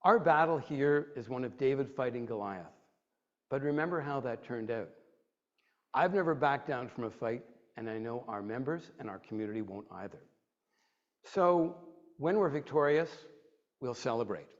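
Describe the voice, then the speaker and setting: A man's voice: medium pitch, expressive, normal speed. A middle-aged man from Quebec, giving a rousing prepared speech full of emphatic lists, then answering audience questions. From Quebec, Canada. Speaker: advocacy group president giving a speech. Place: library event room. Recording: dull lo-fi recording.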